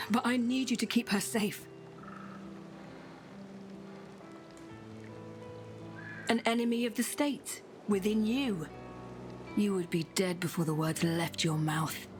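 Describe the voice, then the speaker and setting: A mysterious witch acted by a woman with a mid-pitched voice and solemn, portentous delivery. She speaks in measured, earnest phrases like a keeper of ancient secrets in a fantasy game, with faint music behind her. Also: lightly acted. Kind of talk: dramatic fantasy game acting.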